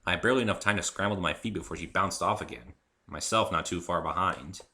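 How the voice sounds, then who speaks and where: Low-pitched man giving a dramatic reading. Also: quick pace, bright, forceful tone. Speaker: amateur reader of own story. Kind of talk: dramatic first-person story narration.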